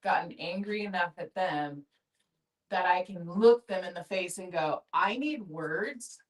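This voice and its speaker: A woman's voice: on the low side, a little slow. A middle-aged woman chatting casually and candidly in a group discussion, in an effusive, informal way. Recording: distant room microphone.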